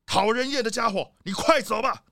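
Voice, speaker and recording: A man's voice: very high voice, moderate pace, gravelly, noisy background. A man playing a boastful, irritable rich leopard boss in a children's audio drama, brusque and dismissive. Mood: irritated.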